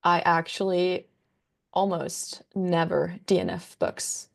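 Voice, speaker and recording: A woman's voice: on the low side, steady tone, takes it slowly, gravelly. A woman in her twenties, a native Danish speaker, chatting casually about books as a guest, with frequent ums and likes. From Denmark. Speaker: guest, book content creator. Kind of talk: casual conversation.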